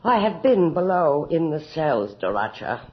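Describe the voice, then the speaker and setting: A woman's voice: low voice, very animated, normal speed. An actress playing a cruel, vain noblewoman in a commanding, theatrical manner in a radio horror drama. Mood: threatening, demanding. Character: cruel, vain, imperious noble countess, murderous and yearning. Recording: dull old radio recording with background noise.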